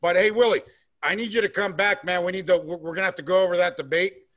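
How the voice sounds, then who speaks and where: A man hosting a political podcast, with a loud, brash way of talking. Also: high-pitched, fairly even intonation, quick pace. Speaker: podcast host. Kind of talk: casual political podcast talk.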